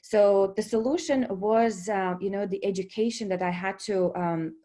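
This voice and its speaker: A woman in her thirties talks in English with a Latvian accent and a voice of ordinary pitch. She presents to an online audience, pausing often on "uh" and "um" and restarting her phrases. She keeps checking in with a quick "okay?". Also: dark tone. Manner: hesitant, many fillers and restarts, checks in with "okay?". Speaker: presenter at a business webinar.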